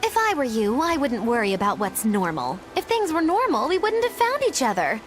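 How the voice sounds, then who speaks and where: Uma atriz interpretando uma bruxa excêntrica e teatral, de tom zombeteiro. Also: female voice, high voice, huge pitch swings, brisk, faint music behind. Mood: teasing. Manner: theatrical, mocking. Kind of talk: game dub acting.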